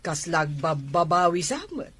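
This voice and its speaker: A man playing a measured, resigned older parent in a radio family drama, reasoning deliberately. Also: fairly high pitch, sing-song, a bit bright, breathy edge, exaggerated voice.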